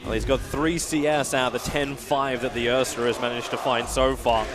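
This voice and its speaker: A man with an Australian accent giving excitable play-by-play commentary on an esports match as caster. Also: moderate pace, music in the background. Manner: excitable, rising pitch in big moments.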